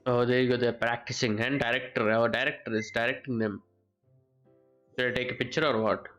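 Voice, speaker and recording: A woman's voice: very deep voice, steady tone, fairly quick, a bit bright, faint music behind. A woman giving casual live gameplay commentary as a streamer, in short murmured reactions. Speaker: game streamer.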